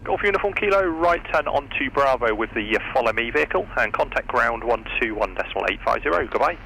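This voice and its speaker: A man's voice: mid-range voice, expressive, quick pace, gravelly, bright, forceful tone. A man making clipped, procedural aviation calls over the radio. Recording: noisy aviation radio transmission.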